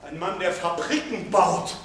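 A fervent stage accuser with an extremely high, shrill delivery. He declaims in an overwrought way from far off, in a heavily echoing hall. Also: a little slow, fairly bright tone, slight background noise. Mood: outraged. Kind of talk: theatrical stage acting. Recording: cavernous echo, distant stage, faint music.

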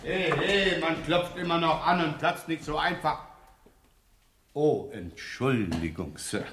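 An actor playing a grumpy, offhand police inspector in a 1970s radio crime drama. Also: male voice, on the high side, lively intonation, slow, fairly bright tone. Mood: irritable, flustered. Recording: roomy sound with faint noise.

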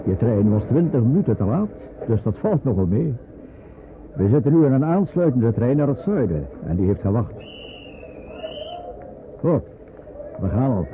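A man's voice: medium pitch, very animated, moderate pace, long pauses, narrow, tinny audio. A man playing a reassuring, capable uncle in a radio drama, assured and avuncular, on a noisy old recording. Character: reassuring, capable uncle escorting a refugee by train. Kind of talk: Dutch radio drama acting.

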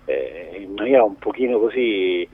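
Man, lowish voice, hesitant reflective interview guest, dull narrow radio connection. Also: expressive, moderate pace, slightly rough. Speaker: film producer, interview guest. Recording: dull band-limited phone-like radio link.

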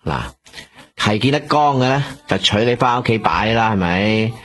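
An actor playing a smooth-talking middleman who coaxes a woman on a boss's behalf in a radio drama. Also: fairly low pitch, animated, normal speed, a bit bright. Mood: coaxing. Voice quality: wheedling, persuasive. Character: smooth-talking middleman running errands for a boss. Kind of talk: Hong Kong radio drama acting.

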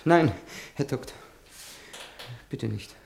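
An actor playing a stubborn, bitter wounded man in a radio play, weak and fading, speaking in halting, labored phrases. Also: mid-range voice, gravelly, darkish tone, slight background noise. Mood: pleading, weak. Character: mortally wounded man, bitter, stubborn, vengeful, determined to die. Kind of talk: German radio play acting.

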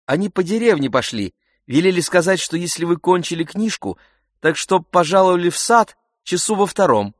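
A man voicing a lively young housemaid in a literary audiobook, chirpy and deferential as she relays messages. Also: high-pitched, moderate pace, no echo, studio quality, voice pushed up. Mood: obliging.

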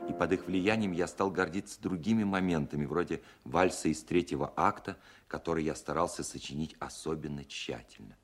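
An actor playing a grown composer who recalls his childhood opera in a radio play, narrating in a wry, expressive way. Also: very deep voice, brisk, hardly any pauses. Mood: reflective. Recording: 1970s studio record with prominent music.

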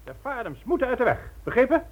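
A man's voice: very high-pitched, wildly swooping pitch, quick pace, full character voice. An actor in a Dutch radio drama playing an imperious, scornful villain boss who threatens his underling. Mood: threatening, scornful. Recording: muffled old radio recording.

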